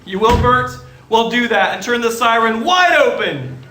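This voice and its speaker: An exuberant family man, acted by a man in a stage radio play who is giddy at being alive. His voice is very high and swings widely with excitement, ringing in a roomy theatre with faint music. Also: normal speed, background noise. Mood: jubilant. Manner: excitable, exclamatory.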